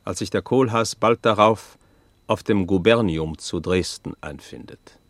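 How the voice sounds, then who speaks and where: Low, dry-sounding solemn narrator. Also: male voice, unhurried, dry recording. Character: sombre male narrator of an old chronicle. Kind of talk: radio drama narration, literary.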